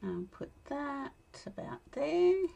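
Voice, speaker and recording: An Australian woman with a tired, croaky voice chatting casually and laughing as she demonstrates card making at her craft desk. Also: sits high, sing-song, slow, warm tone, highs cut off. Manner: chatty, laughs often, mutters to herself while working. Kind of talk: casual crafting tutorial chat. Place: indoor craft desk, overhead camera.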